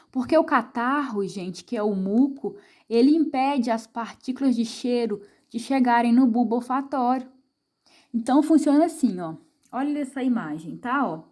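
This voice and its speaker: A woman's voice: fairly high pitch, a little mellow. A middle-aged Brazilian woman teaching a science lesson to children, chatty and full of tag questions, asking and answering her own questions. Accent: Brazilian Portuguese. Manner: frequent tag questions to the viewer.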